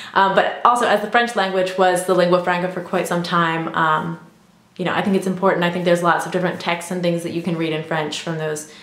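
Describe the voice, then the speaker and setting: A young woman vlogging casually about learning languages, chatty and full of ums and you-knows. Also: medium pitch. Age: young adult. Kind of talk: casual vlog talk. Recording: echoey room.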